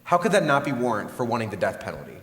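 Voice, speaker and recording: A man's voice: medium pitch, very animated, rapid-fire, a bit bright, slight background noise. A man playing an indignant, passionate juror in a live stage play, arguing earnestly. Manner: earnest, argumentative. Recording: echoey hall stage recording.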